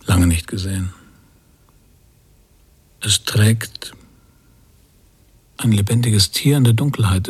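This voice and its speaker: An actor playing a pensive emigrant father at sea in a radio drama, speaking breathily in halting, introspective phrases, often near a whisper. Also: fairly low pitch, breathy edge, no room sound, heavily acted. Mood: awed relief. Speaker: narrator and lead character. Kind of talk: literary radio drama monologue.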